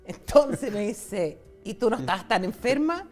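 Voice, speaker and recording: A woman's voice: on the high side, big pitch swings, measured pace, darkish tone, faint music behind. A Chilean woman in her sixties telling personal stories as an interview guest, in a candid, conversational way. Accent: Chilean Spanish. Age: 60s. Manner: candid, conversational, retells dialogue. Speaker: interview guest, foundation founder.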